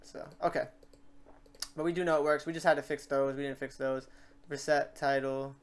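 A man hosting a casual software tutorial, informal and rambling, thinking aloud as he works. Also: mid-range voice, steady tone, normal speed, clear, resonant tone. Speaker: tutorial host. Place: indoors at a computer.

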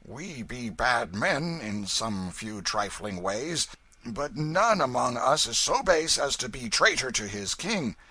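A man's voice: mid-range voice, sing-song, slow pace, sharp tone, husky, pitched above the performer's own voice, full character voice. A man voicing a burly, blustering but good-natured vagabond chief from a storybook, with commanding archaic speech. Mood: firm, rallying.

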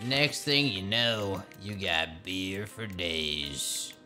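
A man in his thirties streaming games, chatting casually with loud profanity and excitable trash talk. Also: low-pitched, drawn-out words, faint music behind. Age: 30s. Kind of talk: casual gaming stream chat.

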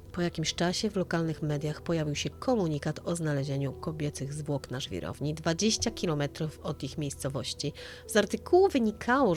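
Female narrator, low register, dramatized true-crime storytelling, music bed underneath. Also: darkish tone.

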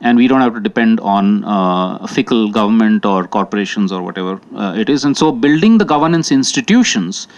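A man's voice: on the low side, lively intonation, fairly quick, few pauses, lo-fi sound. A man from India, speaking as a panelist and making his points thoughtfully with many fillers and restarts. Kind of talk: panel discussion remarks. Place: conference hall.

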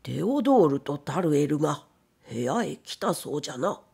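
A woman's voice: sits low, big pitch swings, moderate pace, creaky, a little mellow, husky, pitched below the performer's own voice, heavily acted. A stern old factory master from a classic novel, acted out by a woman reading aloud. He speaks bluntly and imperiously, in an elder's old-fashioned phrasing, pressing for answers and giving orders. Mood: stern, probing. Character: stern old male factory owner, commanding and probing. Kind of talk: audiobook character reading.

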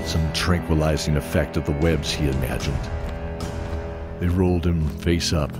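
A man giving scripted narration for a fantasy audio drama. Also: a bass voice. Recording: music under the voice. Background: music.

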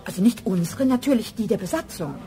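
An actress playing a discreet, confiding secretary who speaks hesitantly in a German radio crime drama. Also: female voice, mid-range voice, animated, quick pace, mellow, natural voice. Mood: anxious, pleading. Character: discreet office secretary, confiding, apologetic, gossipy woman.

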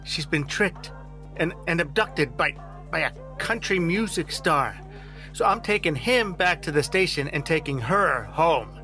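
A man's voice: a little high, lively intonation, unhurried, pushed and bright, soft background music, full character voice. An actor playing a middle-aged small-town lawman father, distraught and pleading, stammering and repeating himself in an audio drama. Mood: firm, indignant.

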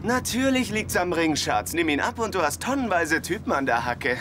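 A man's voice: high voice, very animated, moderate pace, loud music behind the voice. An actor dubbing a good-natured, jokey young man in a German sitcom. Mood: goofy. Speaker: dub actor.

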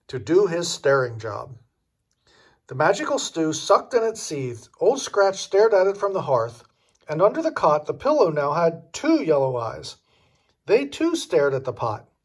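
A man's voice: on the high side, sing-song, measured pace, slightly rough. An older man reading a children's storybook aloud expressively, as a grandfather would.

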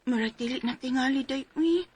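A woman's voice: high-pitched, a little fast, darkish tone, slightly rough. A woman in her twenties from Cebu province voicing a timid young helper who narrates her own story and pleads apologetically in a radio drama. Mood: worried. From Cebu province, Philippines. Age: 20s. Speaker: letter-writer narrator and lead.

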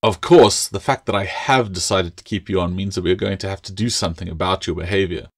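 A man's voice: low-pitched, quick pace. A man voicing a stern, pompous older schoolmaster with a snapping, sarcastic manner, in an audiobook fantasy tale. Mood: mocking, severe.

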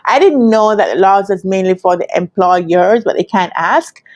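Casual vlog storyteller: Jamaican woman in her thirties, mid-pitched, expressive, acts out the dialogue. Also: a little slow. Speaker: business analyst trainer telling her career story. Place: quiet indoor room with curtains. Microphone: lapel.

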